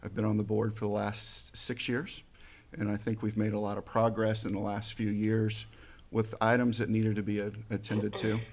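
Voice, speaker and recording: Man, 40s, gravelly and flat; halting local candidate answering questions. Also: fairly low pitch. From Missouri, USA. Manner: plain, halting, with fillers and restarts. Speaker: school board candidate.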